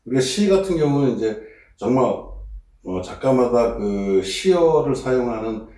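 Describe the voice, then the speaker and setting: A man taking part in a casual book discussion, speaking in a thoughtful, measured way about literature. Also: mid-range voice, lively intonation, mellow. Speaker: seminar participant. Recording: echoey room, distant mic.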